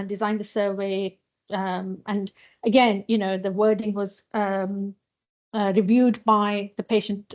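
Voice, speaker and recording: A woman from a patient charity giving a conference talk in a hospital lecture hall. She pauses often, with many fillers and restarts. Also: mid-range voice. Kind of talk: conference presentation. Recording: dull lo-fi sound.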